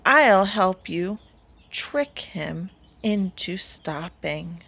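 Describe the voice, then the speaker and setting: A woman voicing a kind, helpful little hedgehog in a storybook, gently reassuring. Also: fairly low pitch, expressive, drawn-out words, vocal fry, husky, exaggerated voice.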